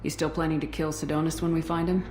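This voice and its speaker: An actress playing a cool-headed military commander in a video game, with steady, direct delivery. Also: female voice, sits low, quick pace, reverberant, background noise, natural voice. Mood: concerned, probing. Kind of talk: video game cutscene dialogue.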